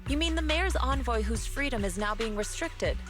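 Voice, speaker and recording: A woman plays a commanding temple master in an anime game, composed and quietly threatening. Her fairly high voice is measured and authoritative, with music clearly behind. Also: lively intonation, lightly acted. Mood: cool defiance. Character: composed, commanding female martial-arts master, quietly menacing. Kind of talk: anime-style game voice acting.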